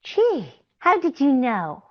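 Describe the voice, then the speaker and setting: A chatty, innocently daft 1930s radio comedienne. Her voice sits fairly high and leaps about in melody, with a slightly dull lo-fi sound. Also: wildly swooping pitch, slow pace, heavily acted. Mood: innocently puzzled.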